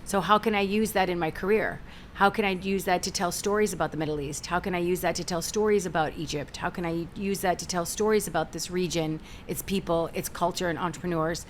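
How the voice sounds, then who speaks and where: A middle-aged American woman, a guest in a talk-show interview, telling stories in an energetic, animated way. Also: fairly low pitch, fairly quick, slight background noise. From United States. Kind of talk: conversational interview.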